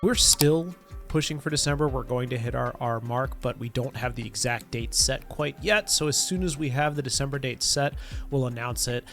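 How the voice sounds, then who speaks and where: A man's voice: mid-range voice, normal speed, a bit bright. A man in his thirties with a stuffy nose from a cold, giving a chatty, loose game update from a home studio on a desk mic. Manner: chatty, loose, lots of fillers. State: nasal from a cold. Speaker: game developer community manager. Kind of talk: casual update video. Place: home studio with neon lights. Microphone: desk podcast mic. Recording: music bed under the voice.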